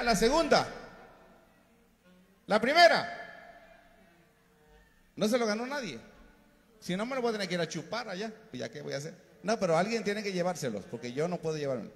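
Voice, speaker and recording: A man hosting a live outdoor concert, joking with contestants and announcing the band over a stage mic. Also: high voice. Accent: Mexican Spanish. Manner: lively, joking, shouting into mic. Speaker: event emcee. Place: outdoor concert stage under a large roof. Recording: PA sound with long reverb.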